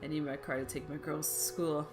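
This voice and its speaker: A woman's voice: sits low, level tone, fairly quick. A woman in her thirties chatting casually as she wraps up a let's-play video, rambling with frequent fillers. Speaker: gaming video creator. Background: faint game music.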